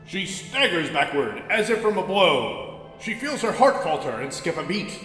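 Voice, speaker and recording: A man's voice: high voice, sing-song, measured pace, a bit bright. A man giving emphatic, dramatic scripted narration of a superhero radio drama. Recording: echoey room with long reverb. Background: music.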